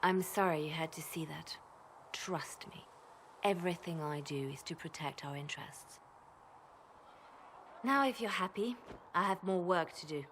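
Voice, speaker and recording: A woman voicing a coolly ruthless, secretive spymaster in a video game, controlled and deliberate. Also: lowish voice, a bit bright, slight background noise. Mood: curt. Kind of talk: video game character acting.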